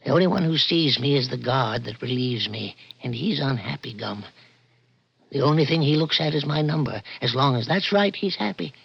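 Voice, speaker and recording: A man playing a weary, gentle, very old prison guard, soft-spoken and wistful, in 1960s radio drama style. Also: mid-range voice, animated, moderate pace, dry recording. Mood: insistent. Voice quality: creaky, husky old voice. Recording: dull old radio recording.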